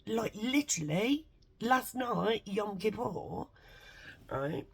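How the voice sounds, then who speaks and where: A woman with a congested, bunged-up nose, rambling excitedly and swearing a lot in a casual vlog from home. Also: mid-range voice, slow, creaky, slightly rough. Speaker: vlogger.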